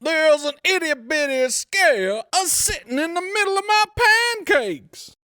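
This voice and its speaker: A woman doing a shrill, panicky mayor. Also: animated, unhurried, pushed and bright, no room sound, clean studio sound, full character voice. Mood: panicked. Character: jittery fussy male small-town mayor.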